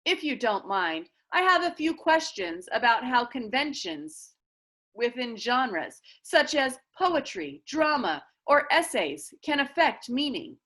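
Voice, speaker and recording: Woman acting a smarmy, bright-voiced teacher's-pet schoolboy. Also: sits high, big pitch swings, takes it slowly, exaggerated voice. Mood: ingratiating. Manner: polite, formal, ingratiating.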